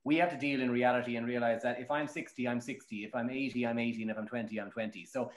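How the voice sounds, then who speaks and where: A man's voice: mid-range voice, fairly even intonation, fast talker. An Irish man answering interview questions as a matchmaker, in a colloquial, emphatic storytelling manner. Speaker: matchmaker guest. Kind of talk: animated interview answers with anecdotes. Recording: roomy, echoing sound.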